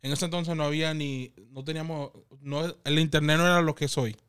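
Animated Dominican man telling stories. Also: a little high, brisk, smooth. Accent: Dominican Spanish. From Dominican Republic. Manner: animated, laughs often, quotes others in lively voices. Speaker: guest. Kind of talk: casual interview storytelling.

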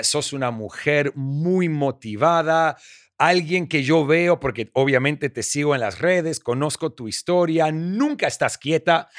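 A man's voice: on the high side, no room sound, clean studio sound. A man from Argentina with an Argentine Spanish accent, hosting a faith-based podcast interview in an encouraging, effusive way. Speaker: podcast host.

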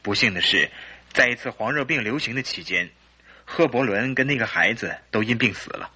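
A man's voice: medium pitch, animated, brisk, vocal fry, bright, forceful tone, husky, exaggerated voice. A man acting a polite, earnest, troubled English husband in his early thirties in a Chinese radio drama. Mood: polite, explanatory.

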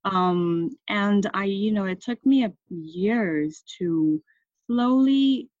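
A woman's voice: mid-range voice, slow, darkish tone, no room sound. A woman from Taiwan in her thirties, a guest in an interview, speaking thoughtfully with many fillers and restarts. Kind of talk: reflective conversational interview. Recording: dull muffled sound, dry.

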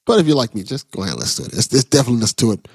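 A man's voice: mid-range voice, very animated, rapid-fire, vocal fry, husky, no room sound. A man hosting a podcast in casual interview chat, effusive and encouraging toward his guest. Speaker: podcast host.

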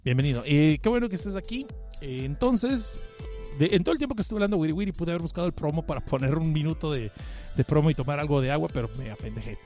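A middle-aged Mexican man speaks in a fairly high register, with a cold in his voice. He hosts a live stream in an informal, slangy way, poking fun at himself as he reads comments. Music plays behind him. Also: expressive, quick pace, dark tone. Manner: informal, slangy, self-deprecating. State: has a cough and cold. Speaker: podcast host. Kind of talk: casual live stream chat, reading listener comments.

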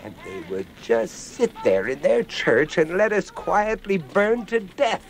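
An actor playing a grave, inquisitive investigator with theatrical delivery in a radio drama. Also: male voice, high voice, animated, a little slow, vocal fry, exaggerated voice. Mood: alarmed.

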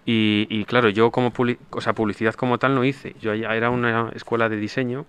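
A man's voice: mid-range voice, flat delivery, fairly quick, fairly bright tone, clear, resonant tone. A young man telling a casual story, slangy and exasperated. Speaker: guest. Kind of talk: casual conversation.

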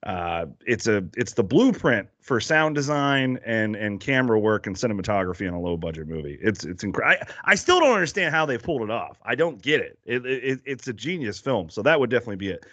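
Podcast guest, American man, casual and rambling, very animated intonation. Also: medium pitch, a little fast, hardly any pauses, dry recording, muffled recording. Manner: rambling, lots of fillers and restarts, laughs easily.

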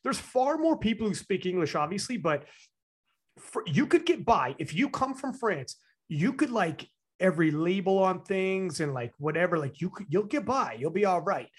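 A Canadian man in casual chat, animated, laughing often and filling his talk with "like". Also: sits high, moderate pace, slightly rough.

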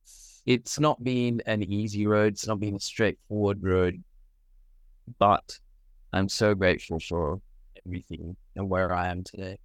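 A man with a very deep voice talks earnestly about his life, often pausing to gather his words. The sound is dry and close. He is from Sri Lanka. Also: steady tone, no room sound, rough recording. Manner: earnest, with frequent pauses and fillers. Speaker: guest, medical doctor. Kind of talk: reflective interview answers.

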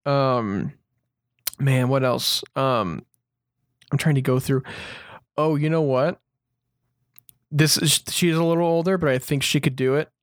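A man's voice: mid-range voice, unhurried, long pauses, no echo, studio quality. A man co-hosting a podcast in casual chat, informal and thinking aloud as he talks. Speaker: podcast co-host.

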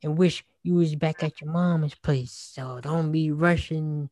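A man in his twenties in casual chat, agreeable and chiming in with quick remarks. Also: on the high side, fairly even intonation, darkish tone, no echo. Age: 20s.

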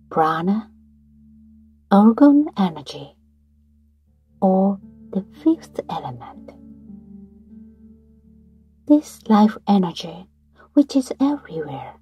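Slow breathy woman guiding meditation. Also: medium pitch, muffled recording. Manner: slow, soft, breathy delivery with whispered phrases. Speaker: meditation guide. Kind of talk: guided meditation narration. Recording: soft guitar music behind.

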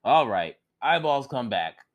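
A man's voice: medium pitch, sing-song, a little slow, lo-fi sound. A man voicing a boastful, foolish man from a folktale who turns whiny and pleading.

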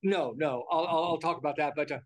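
Rambling older man, high voice. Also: a little fast. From United States. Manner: rambling, repeats words and restarts often. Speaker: interviewee. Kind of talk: casual interview talk.

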